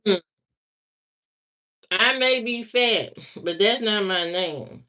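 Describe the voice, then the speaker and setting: A woman's voice: mid-range voice, slow, sharp tone. A woman from Indiana chatting casually on a livestream, answering viewer comments and repeating herself as she talks back to them. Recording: slightly muffled lo-fi stream audio.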